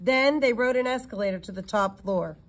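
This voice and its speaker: A woman reading a story aloud in an expressive narrating style, with occasional stumbles and self-corrections. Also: medium pitch, fairly quick. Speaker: audiobook reader.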